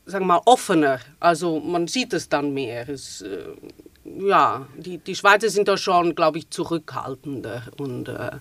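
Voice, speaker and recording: Swiss German-accented woman guest, middle-aged, relaxed chatty delivery, dry studio mic. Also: deep voice, no room sound. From Switzerland. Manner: relaxed, with frequent fillers and restarts. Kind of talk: casual interview conversation.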